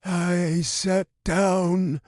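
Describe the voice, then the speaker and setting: A man reading a viewer's horror story aloud as the channel's narrator, in a slow, drawn-out creepy manner. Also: sits high, flat delivery, darkish tone, dry recording, clean studio sound.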